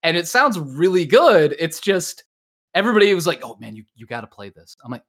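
A man's voice: a little high, big pitch swings, a little fast, fairly bright tone. A man hosting a podcast, chatting casually and prompting his guest in an informal, encouraging way. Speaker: podcast host.

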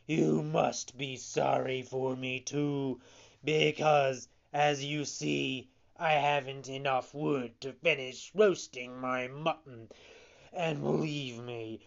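A narrator voicing an imposing bearded puppet showman, stern but capable of pity, speaking slowly and emphatically. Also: mid-range voice, creaky, muffled recording. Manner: slow, emphatic, commanding.

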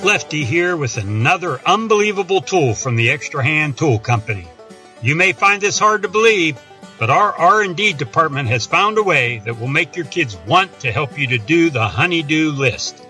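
A zealous radio pitchman played by a man hawks absurd tools in a parody commercial. His voice is bright and forceful and sits a little high. He sounds salesy and mock-earnest, with light music behind him. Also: big pitch swings, normal speed. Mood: salesy, upbeat.

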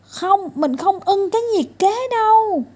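A woman's voice: extremely high pitch, animated, unhurried, darkish tone, pitched above the performer's own voice. A woman voicing a whiny, stubborn little boy in a children's storybook, yelping and complaining about pain.

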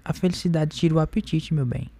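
Man acting a proud husband with a dark voice. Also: a little high, fast, creaky, slightly rough, no room sound. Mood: ironically polite. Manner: formal, curt, ironic politeness. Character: proud, resentful newlywed husband, coldly polite and ironic. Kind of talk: radio drama acting. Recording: music bed behind the voice.

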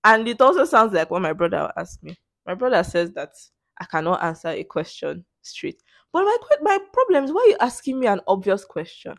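A woman's voice: mid-range voice, very animated, fairly quick, dry recording, studio quality. Sarcastic young Nigerian woman. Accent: Nigerian English. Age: young adult. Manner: sarcastic, playful, laughs often. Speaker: podcast host. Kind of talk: casual solo Q&A podcast.

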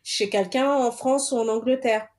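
Woman, fairly high voice, calm explaining, casual chat. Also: normal speed. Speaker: co-host, traveler.

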